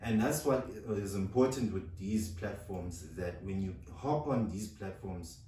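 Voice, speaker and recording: Halting, stuttering man, low voice. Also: warm tone, heavy reverb, distant mic, a little hiss or hum. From South Africa. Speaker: podcast co-host. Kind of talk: casual studio chat. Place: recording studio.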